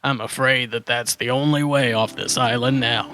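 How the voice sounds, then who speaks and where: A man's voice: mid-range voice, steady tone, normal speed, music in the background, natural voice. A man playing a flustered dinosaur hermit who muddles his words in a children's audio drama. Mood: matter-of-fact. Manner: flustered word-swapping, correcting himself.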